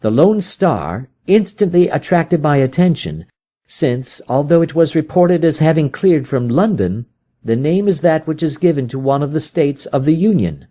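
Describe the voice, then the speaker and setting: An intense, vengeful detective from a storybook, acted out by a male reader, pitched high and swinging widely as he speaks. He is proud and brooding, and declares things with agitated emphasis. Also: expressive, normal speed, mellow. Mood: brisk, explanatory. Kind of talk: dramatic audiobook character reading.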